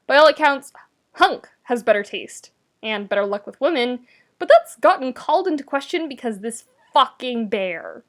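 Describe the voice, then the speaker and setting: A woman's voice: high voice, very animated, normal speed. A woman reading fiction aloud as a narrator, with a wry, conversational and emphatic delivery. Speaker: podfic reader, narrator. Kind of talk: scripted narration of fan fiction, expressive audiobook reading.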